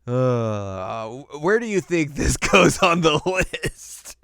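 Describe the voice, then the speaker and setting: A man's voice: a little high, sing-song, slow pace, vocal fry, a bit bright, dry recording, clean studio sound. A man co-hosting a podcast in casual conversation, informal and wry, repeating words for emphasis. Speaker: podcast co-host. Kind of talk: casual chat, film ranking discussion.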